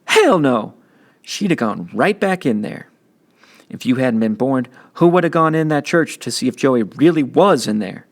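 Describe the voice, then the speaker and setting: A man's voice: medium pitch, expressive, moderate pace, pitched above the performer's own voice. A man voicing a tough, streetwise teenage older brother who lectures bluntly in slang. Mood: insistent. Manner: scolding, slangy, forceful lecturing.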